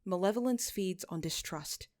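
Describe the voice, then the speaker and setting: A woman voicing a gentle young male religious leader in a story, soothing and reassuring. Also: mid-range voice, normal speed, close to an everyday voice.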